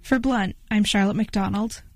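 A woman radio reporter delivering steady, measured scripted narration. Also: medium pitch, faint music behind.

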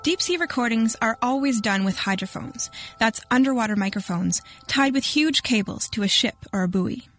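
A woman reporter giving clear, explanatory scripted narration for a radio feature. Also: on the high side, expressive, normal speed, hardly any pauses, a bit bright, faint music behind.

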